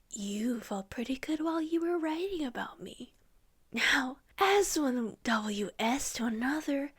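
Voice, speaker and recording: A woman voicing a bitter, sneering rogue policeman who turns whiny with self-pity, in a breathy, half-whispered storybook reading. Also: a little high, a bit bright, heavily acted. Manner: sneering, whining.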